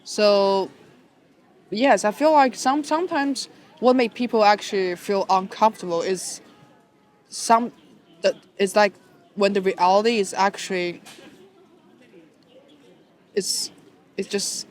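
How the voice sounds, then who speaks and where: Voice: mid-range voice, slow pace, long pauses. A podcast co-host from Shanghai with a Chinese accent, speaking English as a second language, hesitant and searching for words with many fillers. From Shanghai, China. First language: Chinese (Shanghainese, Mandarin). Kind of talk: casual conversational chat.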